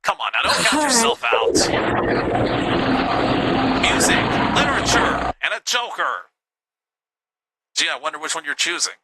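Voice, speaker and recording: A man hosting a live game show with an upbeat, teasing manner, a little hoarse. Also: sits high, very animated, unhurried, pauses often, background noise. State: slightly hoarse.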